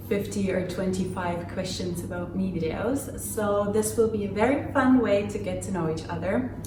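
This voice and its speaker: A woman's voice: medium pitch, roomy sound, distant mic, background noise. A woman in her twenties, from Finland and raised in Germany, chatting through a casual get-to-know-me Q&A with many fillers and small laughs. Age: 20s. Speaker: vlogger. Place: home room.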